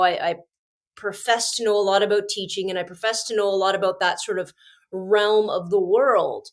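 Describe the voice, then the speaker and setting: Woman from Canada, congested with a cold, passionate, emphatic, restarts often, podcast host, teacher. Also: medium pitch. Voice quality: screechy when agitated. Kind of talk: casual solo commentary.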